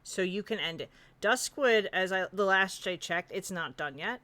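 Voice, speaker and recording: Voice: mid-range voice, normal speed, pushed and bright. A streamer talking casually and informally on a panel, with some mild swearing. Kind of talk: casual chat. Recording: some background noise.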